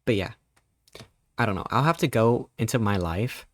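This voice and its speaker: Playful rambling man, low voice. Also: expressive, moderate pace. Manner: playful, rambling, with sudden high squeaky jumps in pitch. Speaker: live streamer. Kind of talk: casual live-stream chat reading chat messages.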